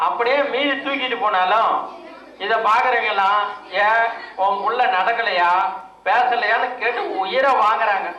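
Man doing a high, tense-voiced drunk husband, blustering, roomy and distant with a crowd behind; puppet show. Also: bright, forceful tone, highs cut off, a little hiss or hum. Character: drunk quarrelsome village husband, middle-aged. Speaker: puppeteer voicing a character. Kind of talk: live puppet show dialogue. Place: outdoor stage performance.

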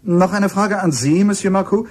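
An actor playing a courteous, inquisitive radio reporter interviewing on location in a German radio play. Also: male voice, sits high, normal speed, darkish tone. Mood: courteous, curious.

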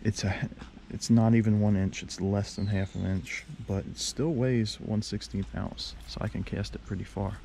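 A man narrating his fishing trip by a lake, talking casually and getting loud and excited when fish bite, recorded on a head-mounted camera. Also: lowish voice, normal speed, creaky. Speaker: fishing vlogger. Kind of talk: casual outdoor commentary. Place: outdoors by a lake below a dam. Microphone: head-mounted action camera. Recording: faint outdoor noise.

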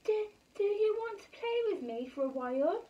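Woman voicing a high-pitched, eager young boy. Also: very animated, moderate pace, muffled recording. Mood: hopeful.

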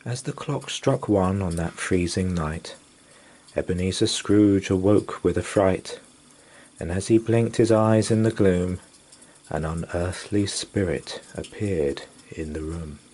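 A man reading a rhyming children's story aloud as a storyteller, with deliberate, theatrical expression. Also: sits low, warm tone. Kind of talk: dramatic rhyming bedtime story narration.

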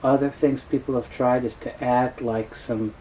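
A man in his fifties giving an informal technical explanation to camera, halting and often repeating words as he restarts. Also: mid-range voice, rough recording. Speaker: host, tape dealer and restorer. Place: small cluttered indoor office or workshop. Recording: steady room noise.

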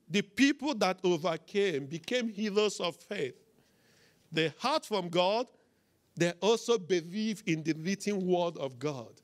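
A middle-aged man preaching a sermon as a pastor, emphatic and deliberate, in short repeated phrases into a handheld microphone. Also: high-pitched, a bit bright. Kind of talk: sermon with testimony and scripture. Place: church auditorium, on stage before a congregation.

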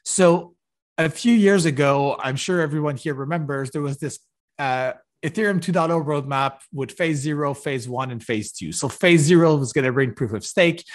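A man giving a technical keynote over a video call, halting with many fillers and restarts. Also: on the high side. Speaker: conference keynote speaker. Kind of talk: technical conference talk. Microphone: video call webcam.

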